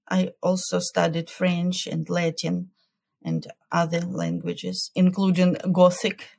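A woman, a culture coach answering interview questions in a careful, measured way with hesitant fillers. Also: fairly low pitch, creaky, a little mellow. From Ukraine.

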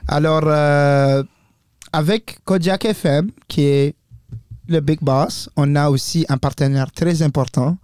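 Enthusiastic higher-pitched young man. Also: fairly even intonation, slow pace, a little mellow, smooth, no room sound, clean studio sound. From Mauritania. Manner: enthusiastic, joking. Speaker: podcast host. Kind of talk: casual panel chat.

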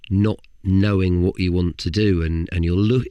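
A man's voice: sits low, steady tone, warm tone, smooth, no echo, dull audio. A man hosting a podcast, talking conversationally with frequent restarts. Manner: conversational, halting with repeated words. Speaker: podcast host. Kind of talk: casual interview chat.

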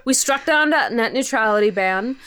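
Female interview guest, higher register, exasperated and sweary, conversational, very dry studio sound. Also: expressive, normal speed, a bit bright, smooth, dry recording. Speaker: podcast interview guest, audio fiction creator. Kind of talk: casual animated interview chat.

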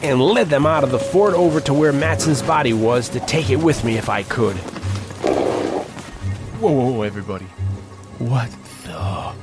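Casting: male actor for grim, haunted frontiersman, measured dramatic delivery for western horror audio drama, scored. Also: medium pitch, lively intonation, natural voice. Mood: alarmed, urging. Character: grim haunted frontier man recounting an Old West horror. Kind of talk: dramatic first-person audio drama narration. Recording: dramatic music under the voice.

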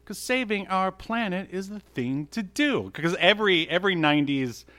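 A man's voice: sits high, expressive, normal speed, sharp tone. A man co-hosting a podcast, chatting casually in a joking, teasing way as he recaps things. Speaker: podcast co-host.

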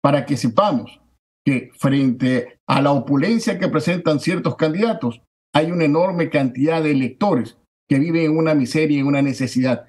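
A man, a political candidate giving forceful, declamatory campaign answers that stack repeated phrases for emphasis. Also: on the high side, moderate pace. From Ecuador.

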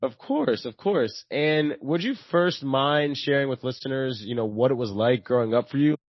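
An enthusiastic man hosting an interview podcast, sprinkling his questions with fillers. His voice is of average pitch for a man. The sound is dry and close. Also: lively intonation, moderate pace, no room sound, highs cut off. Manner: upbeat, enthusiastic, filler-heavy. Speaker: podcast host.

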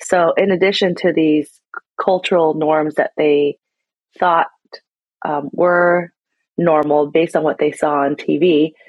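A woman's voice: low voice, fairly even intonation, takes it slowly, a little mellow, husky, dry recording. A woman from the United States, a nonprofit founder telling her story earnestly in an interview. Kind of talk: interview conversation. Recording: dull lo-fi audio.

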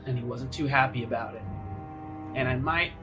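A man narrating a tabletop game as game master, improvising in a halting, conversational way. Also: medium pitch. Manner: halting, conversational, frequent hedging fillers. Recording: music under the voice.

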